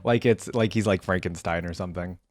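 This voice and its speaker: A man's voice: low-pitched, fairly quick, dry recording. A young man giving casual reaction commentary, informal and easily amused, thinking aloud as he goes. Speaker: reaction video host.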